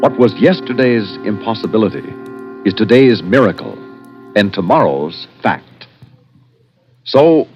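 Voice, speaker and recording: An American man announcing a 1960s radio drama in a formal, dramatic, deliberate scripted style. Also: medium pitch, slightly rough, highs cut off. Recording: vintage band-limited radio with music.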